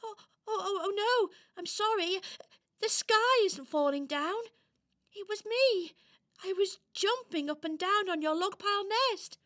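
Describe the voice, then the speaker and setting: A woman's voice: very high voice, animated, a little slow, fairly bright tone, voice pushed up, heavily acted. A woman voicing a timid, apologetic little toy in a children's radio play, earnest and hesitant. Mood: flustered, sorry.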